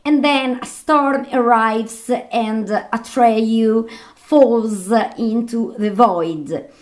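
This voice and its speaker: A woman who is not a native English speaker, presenting movie commentary off the cuff as a film fan, halting and repeating her phrases. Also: on the high side. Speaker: video host and film fan.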